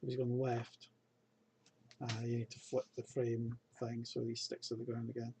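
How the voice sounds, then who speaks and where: A man's voice: mid-range voice, monotone, moderate pace, creaky, dark tone, slight background noise. A game developer, a man narrating his own coding in a recorded video, muttering each step as he works. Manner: mutters his steps as he codes. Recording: keyboard typing clicks behind.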